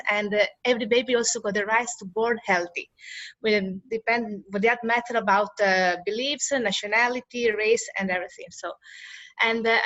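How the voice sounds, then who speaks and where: A woman in her forties from Italy with an Italian accent, a midwife talking earnestly on a panel in halting English and laughing often. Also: mid-range voice, a bit bright, no room sound. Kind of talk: casual panel chat.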